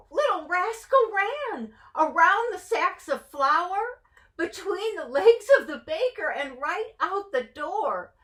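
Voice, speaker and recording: A woman's voice: high-pitched, a bit bright. A woman reading a picture book to children expressively, putting questions to her young listeners. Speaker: children's story reader.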